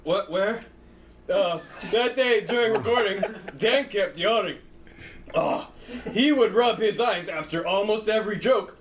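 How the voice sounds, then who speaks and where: A man reads fan fiction aloud on a livestream, slipping in laughing, profane asides. His voice is high. Faint noise sits under the recording. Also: a little slow. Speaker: stream co-host reading fan fiction aloud.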